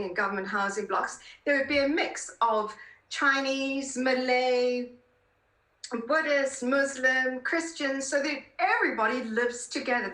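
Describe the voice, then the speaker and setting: A woman's voice: fairly high pitch, sharp tone. A woman in her fifties with a South African accent, speaking emphatically and effusively as an interview guest. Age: 50s. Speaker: podcast guest, political columnist. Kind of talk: conversational interview answers. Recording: roomy, echoing sound.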